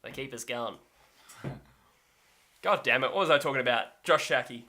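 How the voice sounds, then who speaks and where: A man's voice: mid-range voice, measured pace, pushed and bright. A man co-hosting a sports podcast, talking in a rambling, self-correcting way and laughing easily. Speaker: podcast co-host. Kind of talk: casual sports chat.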